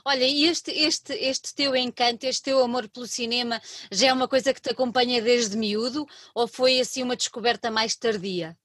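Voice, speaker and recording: A woman host with a European Portuguese accent, chatty and quick to laugh, interviewing a guest. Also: on the high side, fairly even intonation, dry recording. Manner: chatty, warm laughter, many restarts. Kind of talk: radio interview.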